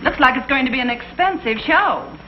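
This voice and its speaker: An actress playing a polite, efficient secretary in a vintage sitcom, courteous and businesslike. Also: female voice, high-pitched, animated, fairly quick. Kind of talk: 1960s broadcast sitcom acting. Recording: echoey, muffled vintage broadcast, faint noise.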